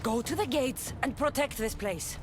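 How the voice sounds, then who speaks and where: A woman's voice: sits high, expressive, normal speed. A woman playing a resolute, loyal Spartan warrior in a video game, speaking in an earnest, commanding way. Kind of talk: video game cutscene acting. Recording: music behind the voice.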